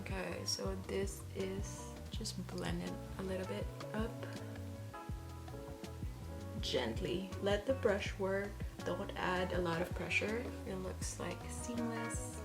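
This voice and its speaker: A woman reviewing makeup and talking through a tutorial, soft-spoken and gushing about the products. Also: mid-range voice, takes it slowly. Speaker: beauty vlogger. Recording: background music under voice. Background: music.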